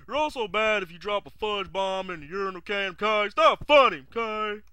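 A man's voice: sits high, expressive, a little fast, sharp tone, smooth, no echo, voice pushed up. A man voicing a dim, moralizing cartoon school counselor who keeps tagging phrases with a questioning okay. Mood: preachy.

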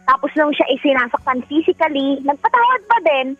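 A woman's voice: sits high, lively intonation, a little fast, no room sound, phone-quality sound. A woman with a Filipino accent co-hosting a radio advice show from her bedroom, earnest and motherly when giving advice. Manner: earnest and motherly when advising, giggly in banter. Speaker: radio co-host. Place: own bedroom.

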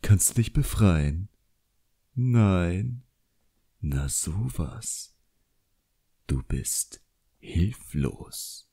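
A man voicing an arrogant, cruel young wizard in an audiobook, speaking slowly and condescendingly and savouring each word. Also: low voice, animated, dark tone, husky, exaggerated voice. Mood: menacing, quiet. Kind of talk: audiobook character acting.

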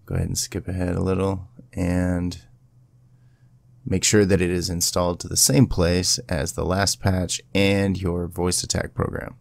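A man presenting a casual step-by-step software tutorial in an informal, instructional way. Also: low voice, completely flat delivery, a little slow, gravelly, no room sound.

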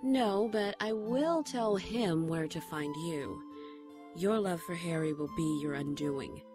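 A woman's voice: lowish voice, slow. A woman playing a powerful, imperious archangel in a radio drama, solemn and cryptic in manner. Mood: ominous. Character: powerful, imperious female archangel speaking in warnings. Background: music.

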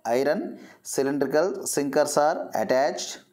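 A woman's voice: low voice, animated, measured pace, dark tone. A woman lecturing as a zoology teacher, explaining in a didactic way and restating her phrases.

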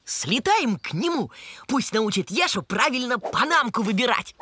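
A woman's voice: a little high, sing-song, normal speed, background noise. A woman voicing a friendly, playful child dragon in a children's cartoon, exclamatory and theatrical. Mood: excited.

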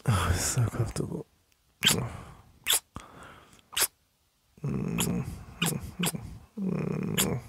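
A male performer as a needy, teasing English boyfriend in an intimate ASMR roleplay. His voice is heavily gravelly and husky, half-whispered at an unhurried pace. He lingers on words with soft sighs, affectionate throughout. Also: heavily acted. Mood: tender, drowsy. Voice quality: deep, breathy, husky, gravelly. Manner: slow, murmuring, with sighs and lingering pauses.

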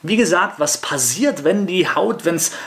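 A man in his thirties, a makeup artist, reacting to a video with skeptical commentary, halting and repeating himself. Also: sits high, lively intonation, a bit bright. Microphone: headset.

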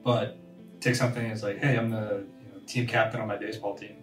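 A man's voice: on the low side, little pitch movement, a little fast. A man co-hosting a casual podcast panel, giving earnest advice to students. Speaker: podcast co-host. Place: conference room around a table. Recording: roomy, distant with music behind.

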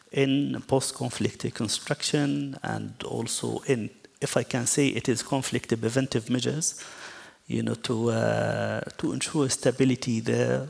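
Flat-voiced man with gravelly creak. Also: mid-range voice. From Palestine. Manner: measured, hesitant, many fillers. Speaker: panelist. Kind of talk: panel discussion. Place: stone-walled hall, seated on stage.

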